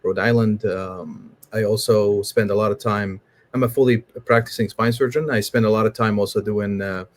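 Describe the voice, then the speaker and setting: Man from syria, low and pretty monotone, calm and soft-spoken. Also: normal speed. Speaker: spine surgeon, guest. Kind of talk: casual AMA self-introduction.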